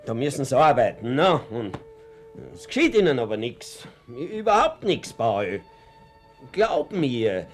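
A man's voice: mid-range voice, big pitch swings, unhurried, faint music behind. An actor with an Austrian accent playing an anxious, guilt-ridden middle-aged father and reluctant party member in a radio drama, speaking in a hesitant, colloquial way. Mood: anguished, insistent. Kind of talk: naturalistic radio drama acting.

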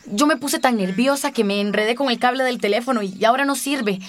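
An actress playing a harried, defiant village health activist in a radio drama, agitated and determined. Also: female voice, on the high side, fairly quick, close to an everyday voice. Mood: agitated, indignant.

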